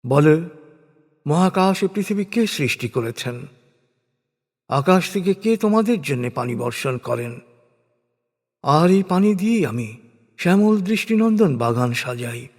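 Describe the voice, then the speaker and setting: A man reading scripted religious narration in a solemn, measured, declamatory way, with deliberate pauses. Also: a little high, darkish tone. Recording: long reverberant hall echo.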